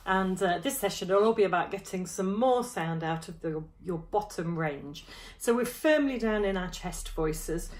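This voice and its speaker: Friendly female choir coach, thirties, lower voice, relaxed teaching tone, laptop-mic home recording. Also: moderate pace. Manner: friendly, demonstrative, touching throat and jaw while explaining. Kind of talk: vocal warm-up lesson to camera. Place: small room at home, indoors.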